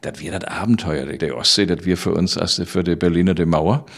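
Mature male guest, Low German Baltic accent, low creaky voice, colloquial anecdote-teller. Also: a little fast. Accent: Low German, Baltic coast of northeast Germany. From island of Rügen, northeast Germany. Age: middle-aged or older. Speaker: interview guest. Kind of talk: casual storytelling chat.

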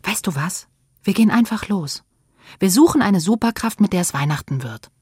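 A woman's voice: medium pitch, animated, moderate pace, darkish tone, breathy edge, no room sound. A woman playing a weary but resolute older sibling in a radio play, with a dry, protective manner. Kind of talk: German radio play acting.

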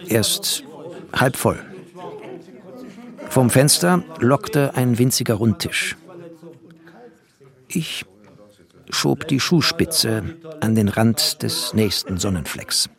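A man's voice: mid-range voice, animated, slow pace, gravelly, pauses often, a bit bright, full character voice. A man playing a bookish, wry war refugee who narrates a literary radio play in clipped, poetic phrases. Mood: wry, matter-of-fact.

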